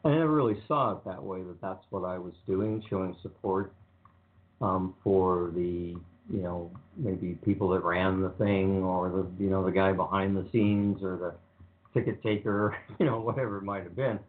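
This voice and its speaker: An older man speaks in a low, flat monotone, drifting easily from point to point with frequent ums. He sounds like a laid-back radio host. The sound is thin and narrow, like a phone line. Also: moderate pace. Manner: relaxed, rambling, many ums. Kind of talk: casual radio talk.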